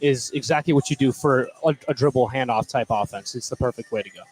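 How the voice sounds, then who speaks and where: A man's voice: mid-range voice, fast, poor audio. An American man giving casual, conversational color commentary on a live basketball game. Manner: conversational, informal.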